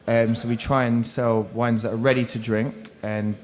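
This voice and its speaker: A man's voice: medium pitch, level tone, normal speed, a little mellow. A man who runs restaurants, speaking earnestly on a seminar panel about his staff and wine sales, with a few restarts. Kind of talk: panel discussion. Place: seminar room.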